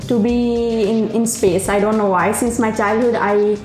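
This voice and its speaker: A young woman from Pakistan whose first language is Urdu, a doctoral researcher speaking reflectively about her life, with pauses and fillers. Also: a little high, steady tone. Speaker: PhD researcher. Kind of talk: personal documentary narration. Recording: roomy with music underneath.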